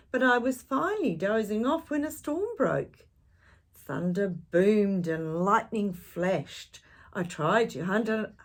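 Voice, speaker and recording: A woman reading a picture book aloud to young children, expressive and dramatic, with gentle questioning asides. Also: mid-range voice. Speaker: children's storytime reader.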